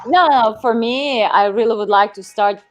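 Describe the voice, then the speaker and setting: A woman dancer chatting in an interview, speaking in halting phrases with frequent "uh"s. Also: fairly high pitch, noisy background. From Ukraine. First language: Ukrainian. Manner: halting, fills with "uh". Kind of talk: casual interview chat.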